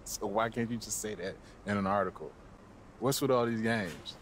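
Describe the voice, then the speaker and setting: An actor voices a young American male basketball player in a video game cutscene. His voice sits fairly low, and his delivery is casual, guarded and understated. Faint background noise runs underneath. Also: moderate pace, natural voice. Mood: guarded, questioning. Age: 20s.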